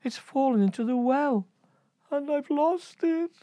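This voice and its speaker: A woman voicing a spoiled, excitable young princess in a storybook tale, breathless and whiny. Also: sits high, a little slow, dry recording, voice pushed up.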